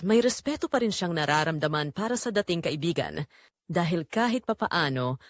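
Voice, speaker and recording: Woman, audio drama narrator, lively intonation, measured sentimental phrasing, close dry sound. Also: lowish voice, dry recording. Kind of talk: scripted dramatic story narration.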